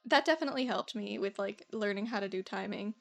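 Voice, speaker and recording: A woman's voice: medium pitch, quick pace. A woman streaming while she animates, chatting in an easygoing, giggly way and often trailing off.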